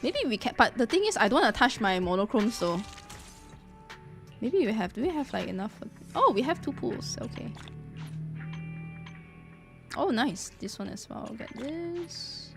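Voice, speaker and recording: A woman chatting casually on a live game stream, reacting excitably and repeating her phrases. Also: fairly high pitch, normal speed, gravelly, pauses often, over music.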